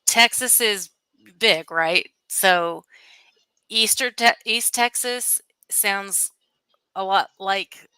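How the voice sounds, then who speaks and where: A woman's voice: mid-range voice, drawn-out words, bright, forceful tone, clean tone, no echo, rough recording. A woman from California hosting a casual chat, explaining things at length with laughs along the way. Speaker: podcast host.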